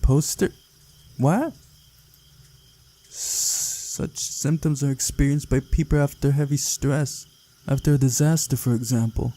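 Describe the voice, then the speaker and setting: Visual novel role: groggy, bewildered bookish boy, hesitant, raised husky voice, man performing. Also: medium pitch, unhurried, pauses often, warm tone, pitched above the performer's own voice, natural voice. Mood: puzzled, bookish. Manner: confused, hesitant. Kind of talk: visual novel character read-aloud.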